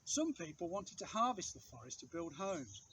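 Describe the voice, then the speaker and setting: Male storyteller for children's picture book, high-pitched, highly animated, dramatic pauses, some background ambience. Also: a little hiss or hum, rough recording. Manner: measured, dramatic phrasing with pauses for effect. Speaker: storybook reader, outdoor teacher. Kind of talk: expressive read-aloud of a children's picture book.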